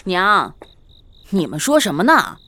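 Woman acting very animated young husband. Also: medium pitch, slow, full character voice. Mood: sheepish. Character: doting, playful young spouse in husband's role. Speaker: voice actor in an amateur audio drama. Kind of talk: Chinese period audio drama acting.

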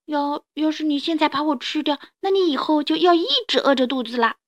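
A little dinosaur boy from a storybook, played by a woman, with a high, childlike voice. He is sweet and eager, quick to plead and exclaim, and close to tears at any time. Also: close to an everyday voice. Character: eager, tearful baby Spinosaurus boy, sweet and grateful.

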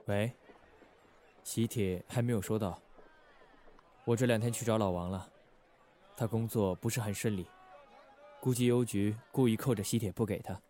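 A man playing a controlled, calculating undercover agent in a radio drama, measured and guarded. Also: lowish voice, steady tone, warm tone, a little hiss or hum, lightly acted. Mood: businesslike. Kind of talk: Chinese radio drama acting.

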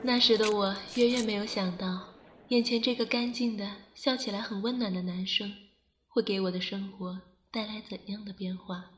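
A woman acting a gentle, wistful young student in an audio drama, speaking softly and reflectively. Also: medium pitch, fairly even intonation, normal speed, roomy sound, natural voice.

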